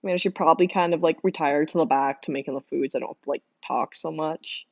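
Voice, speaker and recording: A young woman with a hoarse, worn-out voice chatting casually with her stream viewers, laughing often and rambling with lots of fillers. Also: low voice, fast talker, no room sound. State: hoarse, losing voice from screaming. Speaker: livestreamer drawing art. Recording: dull lo-fi dry mic sound.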